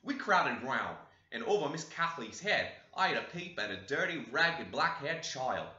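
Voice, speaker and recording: A man reading a classic novel aloud as scripted narration, in an expressive storybook way. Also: mid-range voice, measured pace. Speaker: audiobook reader. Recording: roomy, echoey, slightly dull sound.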